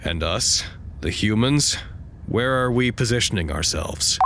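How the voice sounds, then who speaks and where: A man's voice: fairly low pitch, animated, measured pace, fairly bright tone, slight background noise. A man acting in an audio drama as a weary, earnest staff administrator, speaking in a dry, understated way. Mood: businesslike.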